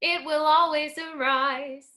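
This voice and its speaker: Solemn chorus figure for a staged reading, played by a woman; high-pitched, extremely unhurried, poetic delivery. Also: steady tone, bright, forceful tone, exaggerated voice.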